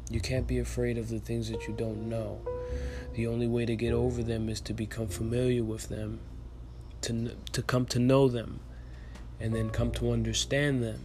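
A man's voice: medium pitch, little pitch movement, moderate pace. Earnest young man with music behind. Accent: light Dominican Spanish. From Dominican Republic. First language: Spanish. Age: 20s. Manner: earnest, rambling, motivational, with many fillers and restarts. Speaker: podcast host. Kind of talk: casual solo podcast monologue.

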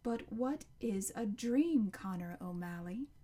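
A woman's voice: medium pitch, animated, dark tone, slightly rough. A woman voicing an ancient, towering tree monster in a storybook, proud and menacing, speaking in a grand, declamatory way. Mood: ominous. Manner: grand, slow, declamatory, building to a roar.

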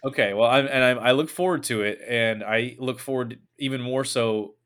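A man's voice: mid-range voice, moderate pace, a bit bright, clean tone. A man, hosting a casual sports podcast in a joking, self-mocking, rambling way. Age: 30s. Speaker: podcast host.

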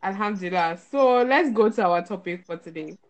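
A woman's voice: medium pitch, animated, brisk, highs cut off. A woman hosting a podcast in a chatty, playful way. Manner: chatty, playful, laughs often. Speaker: podcast host. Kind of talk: casual chat.

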